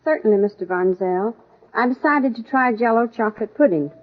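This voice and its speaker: A woman's voice: on the high side, expressive, a little fast, natural voice. A woman playing a satisfied housewife reading a testimonial in a 1940s radio ad. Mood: pleased. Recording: tinny narrow-band vintage radio.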